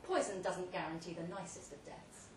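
A woman giving a theatrical, deliberate live reading of a comic short story, with dramatic pauses. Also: fairly low pitch, animated, a little mellow. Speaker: story reader at a live literary event. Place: pub event room, before an audience. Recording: roomy, distant live recording, faint noise.

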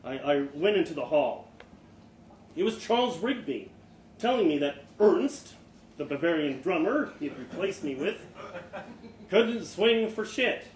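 Man, fairly high-pitched, dramatic story reader, expressive, echoey room. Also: unhurried, pauses often. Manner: expressive, acts out dialogue. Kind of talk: dramatic live short story reading. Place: live literary event in an indoor venue. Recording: echoey room, live event, background noise.

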